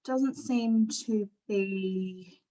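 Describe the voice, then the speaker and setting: A woman's voice: mid-range voice, extremely slow, warm tone, rough recording. Aussie woman, middle aged, chatty and candid, presenting informally on an online call. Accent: Australian. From Australia. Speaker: panel speaker at an online forum.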